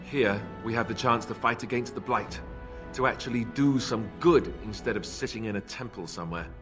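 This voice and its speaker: An actor playing a young, self-deprecating warrior in a video game, casual and wry with an earnest streak. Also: male voice, on the low side, normal speed, over music, lightly acted.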